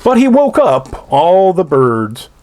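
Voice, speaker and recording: A man's voice: high voice, big pitch swings, slow, mellow. A man reading a children's storybook aloud in a theatrical, playful way, drawing out his phrases.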